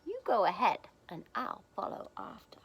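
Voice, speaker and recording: A woman's voice: medium pitch, big pitch swings, normal speed, gravelly, husky, exaggerated voice, poor audio. Woman playing a big hungry storybook monster. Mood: amused. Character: big male monster, hungry, gullible, easily amused.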